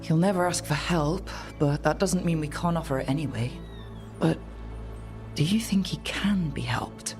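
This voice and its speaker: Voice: medium pitch, normal speed, music in the background, lightly acted. An actor voicing an earnest, burdened team leader in a video game, plain-spoken and subdued. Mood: questioning, earnest. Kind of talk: dramatic video game dialogue.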